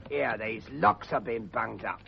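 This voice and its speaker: An actor playing a bossy, rough gangster who gives curt, slangy orders in a harsh voice in a radio drama. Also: male voice, on the low side, measured pace. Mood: barking orders. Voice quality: harsh creaky voice. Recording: dull, noisy old radio recording.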